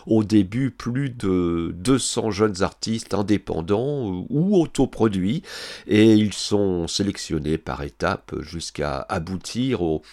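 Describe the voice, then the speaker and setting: A man's voice: fairly low pitch, animated, takes it slowly, mellow. A man hosting a radio show, introducing a guest and reading her background with frequent fillers. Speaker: radio host. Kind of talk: radio presenting.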